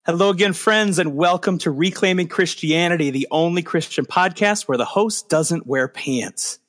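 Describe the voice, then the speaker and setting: A man's voice: a little high, moderate pace, a bit bright. Rambling self-deprecating male podcast host. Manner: rambling, self-deprecating, many fillers and restarts. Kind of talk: casual podcast chat.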